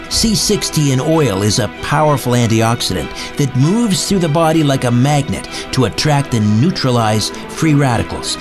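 A man speaks as a podcast and radio host, with a mid-range voice. He delivers scripted lines and questions in a presenter's style over faint music. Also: medium pitch. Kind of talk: scripted host narration, ad reading and interviewing.

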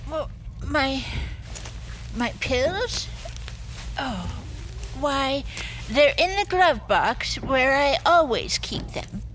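A woman's voice: sits high, animated, drawn-out words, long pauses, a bit bright, slight background noise, full character voice, low-quality recording. A woman playing a frail, muddled elderly lady in an audio drama, hesitant and faltering. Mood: confused, faint. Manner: hesitant, faltering, absent-minded.

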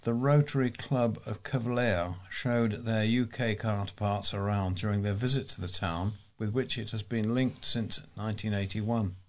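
A man reading local news aloud as a volunteer newsreader, in a measured way with explanatory asides. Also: fairly low pitch, steady tone, a little mellow.

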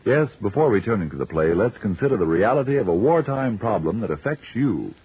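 A man announcing on 1940s radio in a formal, salesmanlike style. His low voice is very rough and husky, with emphatic rises and falls, on a thin, tinny recording. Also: sing-song, a little fast.

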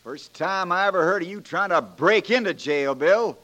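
An actor in a 1940s radio drama playing a young frontier lawyer, folksy, plain-spoken and wry but firm. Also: male voice, sits high, animated, moderate pace, pushed and bright, dull audio. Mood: wry, challenging. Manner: folksy, plain-spoken, joking, homespun turns of phrase.

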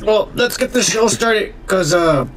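A man hosting a podcast, his voice sitting a little high for a man. He talks in loose, rambling banter and swears freely. It is casual, unscripted chat. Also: lively intonation, a little slow, a little hiss or hum. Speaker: podcast host.

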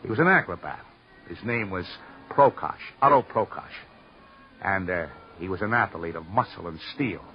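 Excitable little theater manager, swooping pitch. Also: male voice, normal speed, slight background noise. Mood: confiding, sour. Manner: effusive, repeats himself, theatrical. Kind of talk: old-time radio crime drama acting. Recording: dull old radio recording, faint music.

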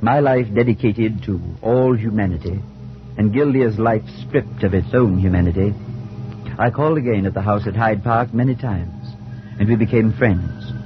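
An actor playing an earnest, compassionate priest, speaking in a measured way in a 1940s radio drama. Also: male voice, lowish voice, music in the background, close to an everyday voice. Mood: grave, reflective. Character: earnest, compassionate male priest narrating his tale. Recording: noisy, dull 1940s radio recording.

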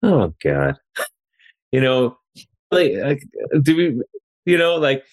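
A man's voice: medium pitch, very animated, slow. A Canadian man hosting a podcast interview, chatty and loose, full of fillers and restarts. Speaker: podcast host. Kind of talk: conversational interview. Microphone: remote call.

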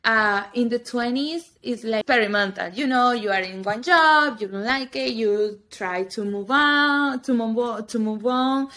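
A woman's voice: a little high, measured pace, hardly any pauses, bright, forceful tone. A woman around thirty, a native Spanish speaker, chatting casually on a podcast in non-native English and laughing often. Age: 30s. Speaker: podcast co-host. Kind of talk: casual chat.

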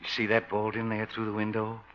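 Man acting mild old reformed crook, bright-voiced, vintage radio. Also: fairly low pitch, monotone, a little fast, close to an everyday voice. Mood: wistful, sly. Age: older. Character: lonely old reformed safecracker, mild, sweet and nostalgic. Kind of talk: 1950s radio comedy acting. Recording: muffled, noisy old radio recording.

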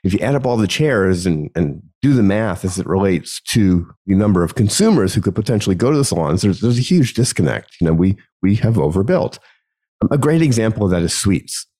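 A man hosting his own podcast alone, talking through a topic in a relaxed conversational way with many ums and restarts, his voice gravelly from a cold. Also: on the low side, fairly quick. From United States. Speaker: podcast host. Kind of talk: solo podcast monologue.

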